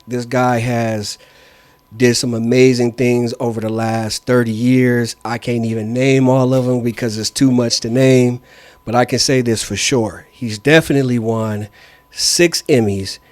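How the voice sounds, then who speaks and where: A man hosting a podcast with a hoarse voice, giving an upbeat, rambling introduction. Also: medium pitch, darkish tone, dry recording. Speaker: podcast host.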